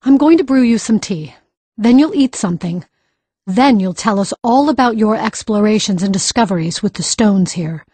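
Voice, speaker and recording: Brisk, husky woman healer. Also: medium pitch, animated, mellow, dry recording. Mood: brisk concern. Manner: measured, authoritative. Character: calm, brisk, learned woman healer-mage.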